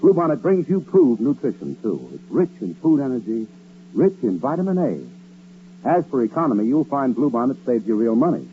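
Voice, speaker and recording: An American man reading a 1940s radio advertisement with an emphatic, measured sales delivery. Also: medium pitch, very animated. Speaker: commercial announcer. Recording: tinny, noisy old radio recording.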